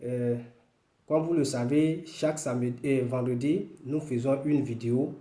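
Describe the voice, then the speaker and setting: A man from northwestern Ivory Coast with an Ivorian accent, a native Woloduka speaker, giving an informal language lesson in a deliberate, explanatory way. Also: medium pitch, steady tone, dark tone. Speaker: language teacher, video host. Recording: roomy, echoing room sound.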